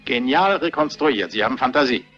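An actor playing a suave, calculating murderous doctor in a radio drama, controlled and sardonic. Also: male voice, medium pitch, animated, brisk, fairly bright tone, natural voice. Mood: cold, menacing. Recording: dull lo-fi audio, music behind.

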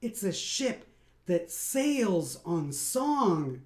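A man's voice: high-pitched, very animated, extremely slow, heavily acted. A man reading a picture book aloud, voicing a small, timid male alien who mumbles hesitantly. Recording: roomy echoey room.

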